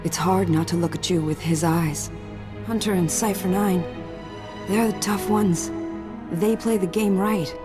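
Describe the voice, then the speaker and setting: A guarded female spy, played by an actress, speaks low and wistfully. It is confessional, dramatic game acting with music clearly behind. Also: fairly even intonation, unhurried, lightly acted. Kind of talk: scripted sci-fi game acting.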